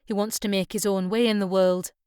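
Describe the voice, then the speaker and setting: A woman's voice: mid-range voice, level tone, brisk, darkish tone, smooth, no echo, clean studio sound, close to an everyday voice. A woman voicing a genial, hospitable male pub landlord with a hearty, matter-of-fact manner, in an audiobook. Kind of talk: audiobook character dialogue.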